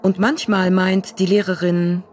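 A woman giving a slow, deliberate poetic reading. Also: fairly low pitch, level tone, darkish tone, a little hiss or hum.

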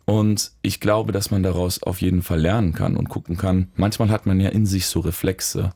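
Deep-voiced man, thoughtful and searching. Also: steady tone, normal speed, a little mellow, no echo. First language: German. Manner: thoughtful, searching, with fillers and restarts. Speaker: musician guest. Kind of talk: reflective interview talk.

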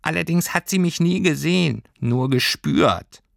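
A man's voice: a little high, unhurried, gravelly, dry recording, studio quality, voice pushed up. A man voicing a small, furry storybook creature with a tiny, plaintive, childlike voice. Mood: gently advising. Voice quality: tiny little voice. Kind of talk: storybook character voice.

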